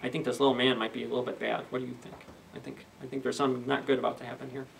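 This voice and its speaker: A man in his thirties reading a children's story aloud expressively, pausing for asides to young listeners. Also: mid-range voice, level tone, vocal fry, a little hiss or hum. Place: indoors, library children's room. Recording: slightly distant camera microphone.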